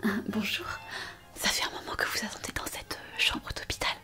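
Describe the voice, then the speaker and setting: A woman playing a kind, eager young hospital intern in an ASMR roleplay, speaking in a soft, breathy whisper with an attentive, hesitant manner. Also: normal speed, soft background music, close to an everyday voice. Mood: friendly, surprised. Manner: attentive, hesitant, gently reassuring. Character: kind, eager, chatty young female hospital intern on her first night shift.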